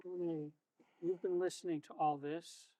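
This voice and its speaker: A man playing a polite, mediating school official in a stage play, measured and formal. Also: on the high side, little pitch movement, dark tone, natural voice, poor audio.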